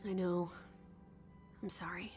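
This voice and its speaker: A woman's voice: on the low side, little pitch movement, slow pace, over music. An actress playing a bold, impatient young female companion in a video game, blunt and wry, coaxing and teasing. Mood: sympathetic, wry. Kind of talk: naturalistic video game voice acting.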